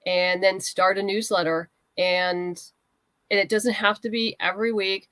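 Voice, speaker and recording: A woman's voice: medium pitch, steady tone, measured pace, a bit bright, no room sound, poor audio. A woman chatting casually as an interview guest, giving practical advice in a conversational way. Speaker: guest writer and comics creator.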